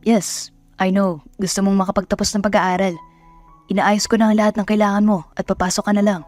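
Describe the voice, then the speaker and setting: A woman's voice: mid-range voice, level tone, a little fast, darkish tone, soft background music, close to an everyday voice. A woman voicing a devoted, confident young man in a romance audio drama, speaking in an assured and tender way.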